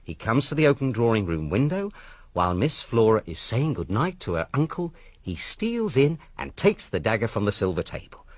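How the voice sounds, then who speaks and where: An actor playing a confident, matter-of-fact police inspector in a radio drama, explaining in a cocksure, orderly way. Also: male voice, medium pitch, big pitch swings, moderate pace, no room sound. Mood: certain, methodical.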